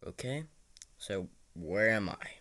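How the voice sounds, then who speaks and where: A man's voice: on the low side, lively intonation, takes it slowly, bright, forceful tone, dry recording, heavily acted. A man playing an anxious, bewildered hero in an amateur stop-motion film, speaking hesitantly and uneasily.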